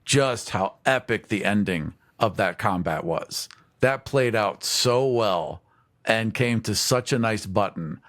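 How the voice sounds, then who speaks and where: A man co-hosting a podcast in casual chat, agreeable and quick to back his partner up. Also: lowish voice, fairly bright tone. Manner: agreeable, backs his partner with frequent yeahs. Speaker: podcast co-host.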